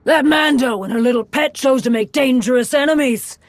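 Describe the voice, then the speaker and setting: A woman's voice: high-pitched, normal speed, dry recording. A woman playing a cocky mercenary bounty hunter in a video game, taunting and boastful. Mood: menacing, mocking.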